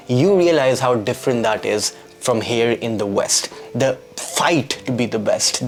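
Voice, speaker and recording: A man, talking earnestly and reflectively in a scripted vlog, asking rhetorical questions. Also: medium pitch. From Pakistan. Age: 20s. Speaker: YouTube creator.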